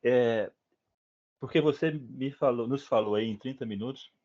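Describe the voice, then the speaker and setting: A man's voice: mid-range voice, normal speed. A man in the audience asking a question politely and deferentially. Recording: dull lo-fi recording.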